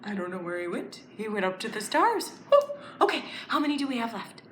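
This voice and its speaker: A woman presenting a children's story time, speaking in an animated, clearly enunciated way as she invites young children to join in with letters, songs and counting rhymes. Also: mid-range voice, a little fast. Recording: roomy echo, faint background noise.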